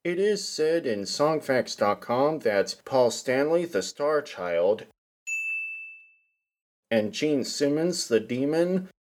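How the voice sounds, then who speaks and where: Male host, scripted narration, slow and deliberate delivery, medium pitch. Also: expressive, background noise. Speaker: podcast host.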